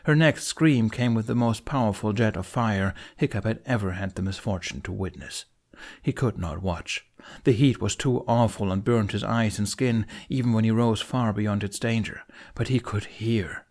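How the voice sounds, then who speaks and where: A man narrating a fantasy adventure audiobook in an expressive, scripted storytelling style. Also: fairly low pitch, normal speed.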